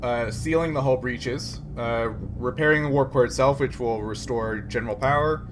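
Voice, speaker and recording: Halting male game master in a noisy room. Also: mid-range voice. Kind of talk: improvised narration of a tabletop roleplaying game.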